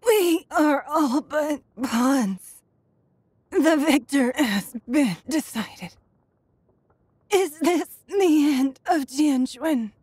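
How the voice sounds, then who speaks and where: A woman's voice: high-pitched, expressive, extremely slow, a little mellow, no echo, exaggerated voice. An actress playing a poised, shrewd and wealthy woman leader in a video game, with a composed, refined and authoritative manner. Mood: imperious, combative. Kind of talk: video game character voice lines.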